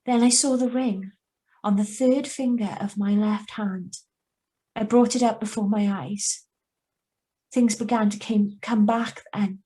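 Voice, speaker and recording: A woman's voice: medium pitch, steady tone, unhurried, mellow, close to an everyday voice. A woman playing a troubled, hesitant young woman who survived an accident, in a radio drama read over a video call. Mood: brooding, reflective. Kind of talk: radio drama acting with first-person narration. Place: remote, on a Zoom video call. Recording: live video call reading.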